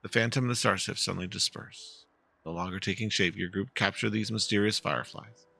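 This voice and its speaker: Gravelly man reading game story aloud. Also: on the low side, brisk, bright, forceful tone. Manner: stumbles over words and names. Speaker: amateur reader of game quests. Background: background music.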